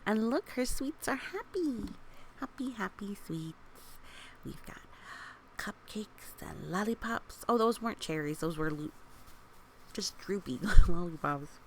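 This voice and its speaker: Slow, creaky-voiced woman reading a children's story. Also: medium pitch, expressive, low-quality recording. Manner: gentle, encouraging, talking directly to young listeners. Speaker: storybook reader. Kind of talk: read-aloud children's story with casual asides. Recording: faint background noise.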